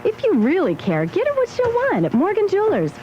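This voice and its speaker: A woman's voice: very high voice, huge pitch swings, brisk, mellow, full character voice. A woman playing a knowing adviser on gifts in a scripted radio ad dialogue. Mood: knowing, persuasive. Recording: dull lo-fi radio aircheck, faint noise.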